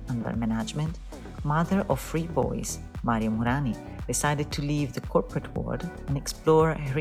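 A woman hosts a podcast in a polished, formal way, clearly reading from a script as she introduces her guest and asks questions. Music is plainly heard running underneath her voice. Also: a bass voice. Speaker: podcast host.

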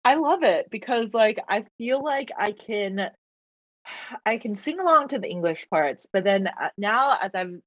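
A woman whose first language is Mandarin, chatting as a podcast guest, talkative and quick to laugh, with lots of fillers. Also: medium pitch, lively intonation, dry recording. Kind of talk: casual interview chat. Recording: dull lo-fi dry recording.

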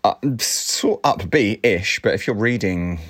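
A man's voice: on the low side, animated, unhurried, a bit bright. A man co-hosting a casual breakfast show, asking dry, teasing questions. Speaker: co-host.

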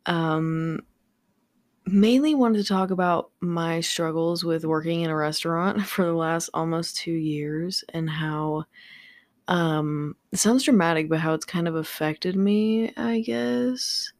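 A young woman from Southern California, talking in a low, unhurried voice that sounds a little raspy and worn out from the late hour. She rambles casually with plenty of ums and swearing, close to a dry microphone at home. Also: no echo. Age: young adult. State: tired, slightly raspy late at night. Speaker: podcast host. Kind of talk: casual solo podcast chat. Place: home room with a fan running. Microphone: studio microphone without pop filter.

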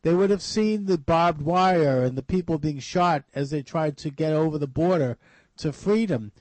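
A man's voice: on the high side, moderate pace, darkish tone, no room sound, rough recording. A man hosting a radio talk show, asking long questions with many restarts and fillers. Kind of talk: radio interview.

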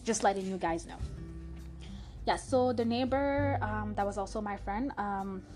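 A woman's voice: mid-range voice, measured pace, darkish tone, soft background music. Young French-accented woman telling a story. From Canada (Montreal). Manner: chatty, frequent fillers and restarts. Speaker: podcast host. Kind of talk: personal storytelling monologue.

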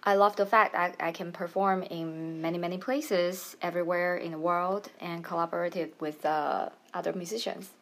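A woman's voice: fairly low pitch, steady tone, moderate pace, hardly any pauses. A woman from Taiwan, a musician answering interview questions conversationally. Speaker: interview guest, musician and piano teacher.

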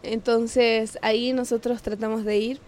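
Hesitant young woman from Ecuador, higher voice. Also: flat delivery, unhurried, darkish tone. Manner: hesitant, many fillers, laughs easily. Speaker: guest, singer. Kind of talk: casual interview.

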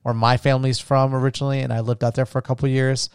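A man's voice: medium pitch, little pitch movement, rapid-fire, smooth, no echo, studio quality. A young American man hosting a podcast, thinking aloud in a casual, rambling way with frequent restarts. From United States. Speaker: podcast host. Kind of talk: casual solo podcast monologue.

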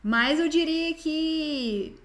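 A woman speaking in casual conversation, relaxed and explanatory. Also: high-pitched, drawn-out words.

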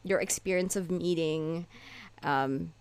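A woman's voice: lowish voice, takes it slowly, smooth, no room sound. A young woman co-hosting a podcast, talking in an earnest, rambling casual way with many fillers. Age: young adult. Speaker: podcast co-host. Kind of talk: casual chat.

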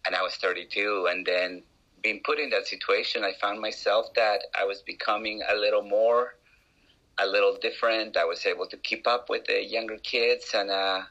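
Male interview guest, Peruvian accent, deep and bright-toned, hesitant storyteller. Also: poor audio. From Peru. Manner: hesitant, many restarts and uh fillers.

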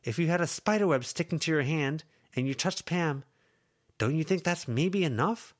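A man's voice: fairly high pitch, expressive, normal speed, voice pushed up, close to an everyday voice. A man voicing an exasperated teenage girl who scolds her friends with tense, pleading frustration. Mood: frustrated scolding.

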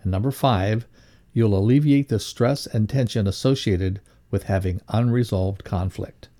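A man reading a motivational lesson aloud as a co-host, in a measured, instructive way. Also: on the low side, warm tone.

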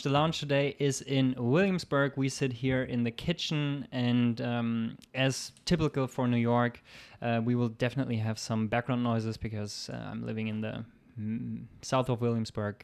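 A man hosting a casual interview show from his kitchen, asking questions in a relaxed, hesitant way with many fillers. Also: mid-range voice, moderate pace, few pauses. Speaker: host. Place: home kitchen.

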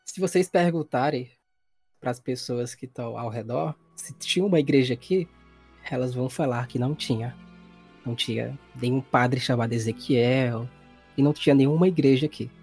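A man's voice: mid-range voice, moderate pace, a little mellow, slightly rough, soft background music. A man with a Brazilian accent, narrating a tabletop role-playing game as game master in an informal, explanatory way.

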